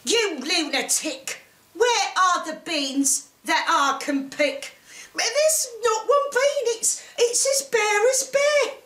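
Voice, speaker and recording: Shrill, hot-tempered storybook mother acted by a woman. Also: animated, takes it slowly, fairly bright tone, reverberant, heavily acted. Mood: exasperated. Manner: shrill, exclamatory, exasperated. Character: scolding, hot-tempered, greedy mother from a fairy-tale. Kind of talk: theatrical storybook reading.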